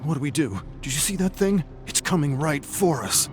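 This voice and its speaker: A man's voice: on the high side, expressive, moderate pace, faint music behind, voice pushed up, lightly acted. A man playing an alarmed male survivor facing a monster in a horror story, speaking urgently.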